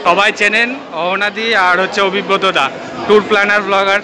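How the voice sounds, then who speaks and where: Man, 30s, lightly high voice, friendly co-host, crowd noise around. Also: little pitch movement, a little fast. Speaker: vlogger co-host. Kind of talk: casual chat.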